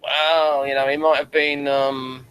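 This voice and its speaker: A man hosting a casual review discussion, speaking in a halting, rambling way and often repeating his phrases. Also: fairly high pitch, little pitch movement, fairly bright tone, clean tone, dry recording, poor audio. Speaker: host of a TV episode review.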